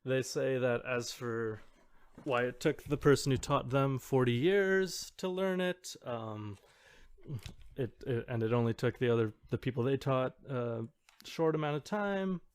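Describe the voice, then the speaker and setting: A man talks as a podcast co-host, casually summing up what people posted online. His delivery is halting and hesitant, at a middle pitch. The recording is dry. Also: smooth, no room sound. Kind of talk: casual summarizing of forum threads.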